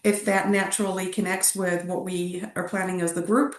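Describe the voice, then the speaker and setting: A woman's voice: lowish voice, level tone, normal speed, reverberant, distant mic. A woman hosting a travel webinar, upbeat and affirming, laughing often. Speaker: travel company host. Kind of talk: casual webinar chat.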